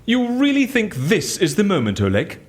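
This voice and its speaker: An actor playing a flustered, wry, talkative British prisoner in an audio drama, theatrical and full of quaint exclamations. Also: male voice, sits high, wildly swooping pitch, normal speed. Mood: probing, exasperated.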